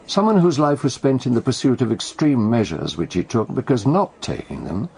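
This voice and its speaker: An actor playing a wry, erudite older British gentleman in a radio detective drama, speaking in a measured way. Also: mid-range voice, sing-song, gravelly, a little hiss or hum. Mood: wry, reflective.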